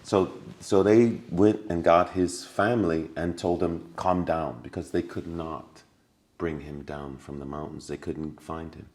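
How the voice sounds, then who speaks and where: A man's voice: low voice, fairly even intonation, measured pace, darkish tone. A man talking as a podcast guest, telling stories earnestly with many restarts and repetitions. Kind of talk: casual conversation.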